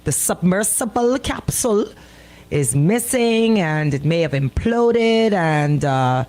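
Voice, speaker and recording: A woman's voice: fairly low pitch, lively intonation, slow pace, a little mellow. A woman co-hosting radio banter, opinionated and emphatic, hammering points home with repeated phrases and rhetorical questions. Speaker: radio show co-host.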